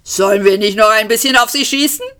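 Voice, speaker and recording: A woman playing pompous shouting alien cops. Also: a little high, brisk, voice pushed up, close to an everyday voice. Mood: shouting smugly. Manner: shouting, self-righteous, mock-reasonable. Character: two male alien policemen, pompous, politely threatening.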